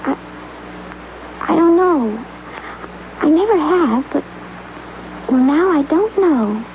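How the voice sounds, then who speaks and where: A young actress plays a gentle, trusting young woman who speaks softly and stumbles over her words in a 1950s radio drama. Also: high-pitched, lively intonation, slow pace, heavily acted. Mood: earnest. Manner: hesitant, soft-spoken, stumbles over words. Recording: tinny, hissy old radio broadcast.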